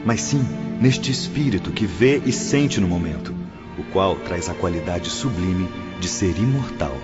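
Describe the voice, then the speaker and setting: An actor playing a wise, compassionate spirit surgeon in an audiobook drama, speaking with measured, didactic reassurance in a Brazilian accent. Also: male voice, deep voice, expressive. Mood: solemn, instructive. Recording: muffled recording under prominent music.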